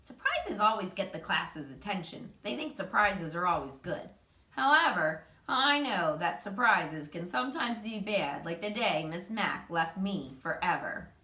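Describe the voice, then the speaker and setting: Female storybook reader, animated and emphatic, bright tone, slightly distant from the mic. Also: fairly low pitch, a little slow. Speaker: teacher reading a children's chapter book aloud. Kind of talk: expressive storybook narration. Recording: roomy echo, distant microphone.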